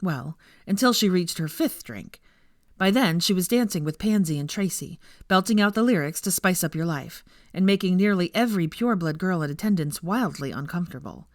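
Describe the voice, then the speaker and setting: A woman narrator reads fiction aloud. Her voice sits low and moves expressively through each sentence. The recording is close and dry, with no room sound. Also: lively intonation, a little fast, no echo. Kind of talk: expressive scripted story narration.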